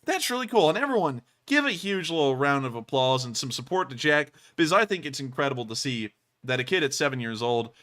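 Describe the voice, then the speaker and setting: A young man co-hosting a live stream, talking in a highly fluctuating, high-pitched voice. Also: brisk. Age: young adult. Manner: animated, high-pitched, swooping delivery.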